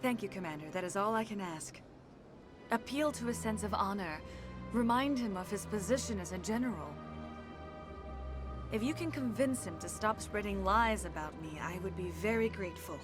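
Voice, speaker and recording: A woman voicing a poised alien consort who speaks in a calm, formal, measured way. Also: mid-range voice, music in the background, lightly acted. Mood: composed. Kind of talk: video game cutscene dialogue.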